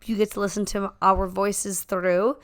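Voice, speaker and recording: A slightly hoarse woman co-hosting a podcast, chatting casually and telling stories with many asides into a handheld mic. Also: mid-range voice, flat delivery, measured pace, no echo, clean studio sound. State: slightly hoarse, recovering from laryngitis. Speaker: podcast co-host. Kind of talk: casual chat.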